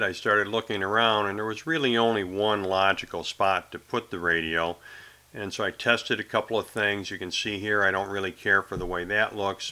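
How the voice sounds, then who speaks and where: A man with a low, forceful voice hosts a hands-on boating tutorial. His delivery is casual and explanatory, with a bright edge to the tone. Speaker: sailor presenting his own boat installation video. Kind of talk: how-to narration with casual demonstration.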